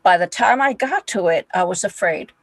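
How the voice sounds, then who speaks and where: A woman's voice: mid-range voice, lively intonation, normal speed, no room sound. A woman hosting a podcast interview, speaking in an encouraging way with frequent "uh"s. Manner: warmly encouraging, with filler "uh"s. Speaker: podcast host. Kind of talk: interview chat.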